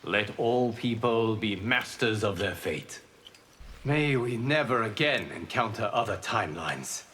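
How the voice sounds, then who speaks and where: A man playing a calm, noble protector god in a video game, speaking formally and resolutely. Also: lowish voice, a little slow, slight background noise. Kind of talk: video game character dialogue.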